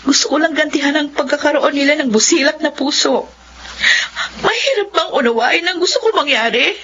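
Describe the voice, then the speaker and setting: Gentle young teller lady for a radio play, hissy dull sound. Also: high voice, fairly quick. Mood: earnest. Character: young woman bank teller, gentle and earnest. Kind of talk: radio drama acting. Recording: lo-fi radio drama with hiss.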